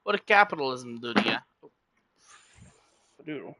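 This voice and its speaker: Bright-voiced man rambling to his chat. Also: medium pitch, lively intonation, slow pace, no room sound, low-quality recording. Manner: rambling, self-correcting, talks straight to chat. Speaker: streamer. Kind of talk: casual livestream chat.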